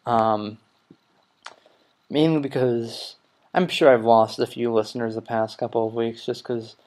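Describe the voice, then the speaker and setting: Young male podcast host, twenties, low-energy rambler, mid-pitched voice. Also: darkish tone. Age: 20s. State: recovering from a cold. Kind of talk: casual solo podcast chat.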